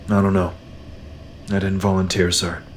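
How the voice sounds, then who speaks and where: A low male voice with little pitch movement acted by a man, a weary soldier in a science-fiction audio drama. He is guarded and terse, speaking in a subdued way. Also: level tone, normal speed, slight background noise, close to an everyday voice.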